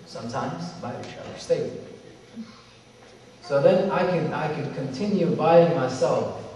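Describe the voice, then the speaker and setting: A man's voice: a little high, animated, mellow, far from the mic. Somali man lecturing in an echoey hall. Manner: measured, repetitive, with drawn-out emphasis and Arabic recitations. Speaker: symposium speaker. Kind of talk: religious lecture to an audience. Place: large hall with audience.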